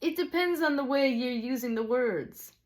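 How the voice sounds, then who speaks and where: Woman acting old Scottish man, raised pitch, patient teacher, deliberate, old-fashioned storybook sage. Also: natural voice. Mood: patient, instructive. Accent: attempted Scottish.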